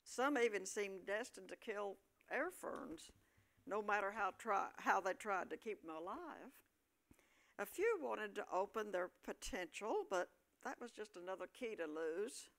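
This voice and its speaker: A woman's voice: medium pitch, animated, measured pace, fairly bright tone. A woman reading scripted narration for a staged play.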